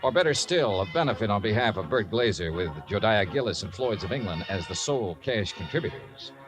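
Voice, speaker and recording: An actor playing a skeptical American insurance investigator in a 1950s radio drama, with dry, wry delivery. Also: male voice, on the low side, sing-song, a little fast. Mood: wry narration. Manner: dry, wry, hesitant when doubtful. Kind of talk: 1950s radio drama, hard-boiled narration. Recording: old radio broadcast, faint music.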